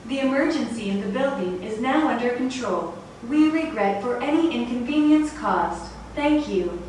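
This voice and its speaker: A woman reading a formal, measured, scripted public address announcement, played through an intercom speaker. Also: on the high side, expressive. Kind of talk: scripted pre-recorded announcement. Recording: very echoey intercom playback, distant, faint noise.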